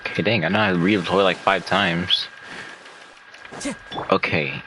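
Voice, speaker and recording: A man's voice: low voice, steady tone, unhurried, background noise. A man casually commentating on his gameplay as a streamer, rambling and muttering to himself. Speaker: game streamer. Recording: game music behind the voice. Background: video game music.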